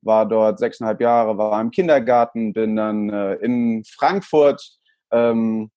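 A man's voice: lowish voice, fairly even intonation, takes it slowly, warm tone, no echo. A man in his thirties, raised in El Salvador, chatting as an interview guest and often repeating his words. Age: 30s. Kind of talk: casual interview talk.

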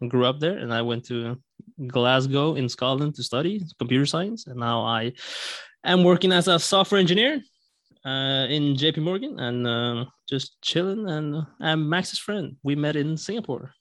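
A man's voice: fairly high pitch, lively intonation, moderate pace, fairly bright tone, no room sound. A young man from Sweden telling a story as a podcast guest, with many fillers and restarts. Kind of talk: casual storytelling.

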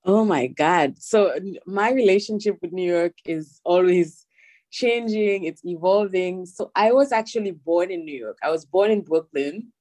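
A young woman with a Haitian accent, a podcast guest chatting casually and softly, laughing easily. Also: fairly low pitch, a little slow. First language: Haitian Creole. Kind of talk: casual interview chat.

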